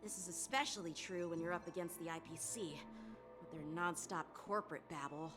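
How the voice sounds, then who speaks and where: A woman's voice: fairly low pitch, a little fast, natural voice. A woman voicing an earnest, polite, boyish young officer in a video game. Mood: explaining. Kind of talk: video game character dialogue. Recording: music behind the voice.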